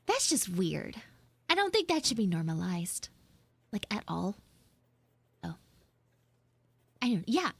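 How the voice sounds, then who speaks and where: A woman voicing a sassy, flustered high school tsundere frog girl in a casual, blunt, rambling live audio roleplay. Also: no room sound, heavily acted. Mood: wry, gossipy. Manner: blunt, snarky, swearing, rambling asides.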